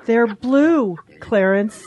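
A woman's voice: on the high side, lively intonation, very slow, a little mellow, muffled recording. A woman voicing a fussy, matter-of-fact hen in a children's audio drama. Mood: dry, disapproving. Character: dry, fussy hen in a sweater.

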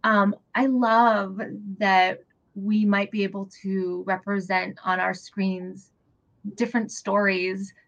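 A woman's voice: medium pitch, little pitch movement, slow, a bit bright. A woman from New Hampshire, a theater director, answering interview questions earnestly with many ums and restarts. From New Hampshire, USA. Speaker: guest, theater executive director. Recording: dull lo-fi radio audio.

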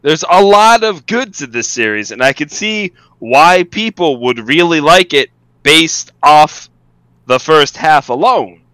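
A man co-hosting a casual anime review podcast, ranting in an excitable, exasperated way. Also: a little high, unhurried, smooth, no echo, low-quality recording. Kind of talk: casual review chat with mocking quotes.

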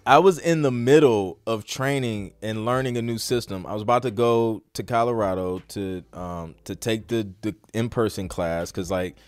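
A man's voice: lowish voice, normal speed, hardly any pauses, no room sound. An American man hosting a podcast, chatting casually through a live Q&A in an informal, slangy, rambling way. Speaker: podcast host.

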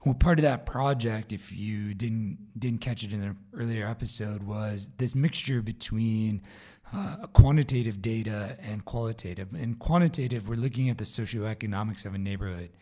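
A man with a low, raspy voice, hoarse from a vocal cord problem, hosts his podcast alone. He talks in a loose, casual way, full of fillers and restarts. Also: normal speed, a little mellow. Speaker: podcast host. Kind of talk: solo podcast update.

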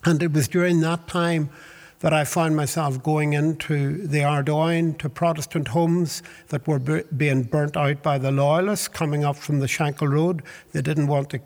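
A man's voice: on the high side, fairly even intonation, a little mellow, long reverb tail. Older Northern Irish man recalling. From Northern Ireland. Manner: measured, hesitant with fillers and restarts. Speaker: interviewee, Baptist minister. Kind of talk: reflective storytelling.

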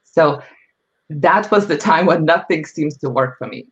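A woman's voice: deep voice, unhurried. Woman, middle-aged, podcast guest, candid, reflective, lo-fi sound. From Lithuania. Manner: candid, reflective, with frequent fillers. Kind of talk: personal storytelling. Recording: slightly dull lo-fi audio.

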